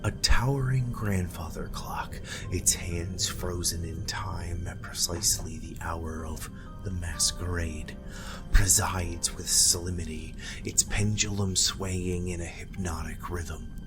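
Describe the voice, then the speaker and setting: Deep voiced guy narrating gothic fantasy horror, super deliberate and dramatic, music under it. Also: steady tone. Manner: deliberate, ornate dramatic delivery. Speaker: narrator, game master. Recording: music behind the voice.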